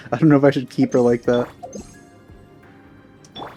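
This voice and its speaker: A man's voice: mid-range voice, dark tone. Male let's play host, mid-range voice, offhand and rambling casual commentary over game music.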